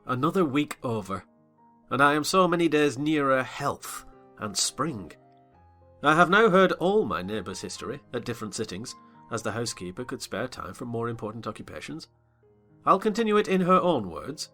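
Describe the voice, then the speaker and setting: Formal gentleman storyteller, acted by a man, wry and reflective, swinging intonation, classic audiobook drama. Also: mid-range voice, big pitch swings, moderate pace, faint music behind. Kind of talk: scripted literary narration.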